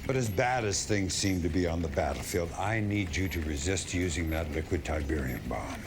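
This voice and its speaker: Casting: gruff game general, low register, very dynamic delivery, principled and emphatic, noisy backdrop. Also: male voice, lively intonation, normal speed. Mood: grave, earnest. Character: gruff, principled military general briefing his field commander. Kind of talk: dramatic video game cutscene acting.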